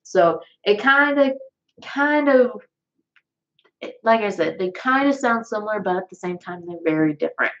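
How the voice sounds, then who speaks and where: A woman's voice: medium pitch, lively intonation, moderate pace. A woman with a Southern US accent, chatting casually and rambling on a livestream, with lots of fillers and restarts. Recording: dull lo-fi sound.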